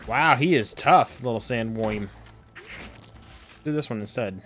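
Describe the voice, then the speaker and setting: Raspy-voiced man rambling through a game stream. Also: mid-range voice, measured pace, a bit bright, slight background noise. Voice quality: raspy, sore voice. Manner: rambling, full of ums and uhs. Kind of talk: casual live-stream commentary.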